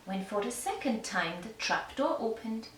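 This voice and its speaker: A woman storyteller narrating a fairy tale for young children with measured, deliberate phrasing. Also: fairly high pitch, expressive, a bit bright. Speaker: storyteller and puppeteer. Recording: echoey room, distant mic, faint noise.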